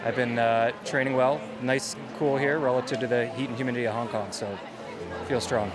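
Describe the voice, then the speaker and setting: A man's voice: medium pitch, fairly even intonation, a little fast, a loud crowd. A man, a runner giving short, clipped answers outdoors at a race village. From United States. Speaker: ultra runner interviewed.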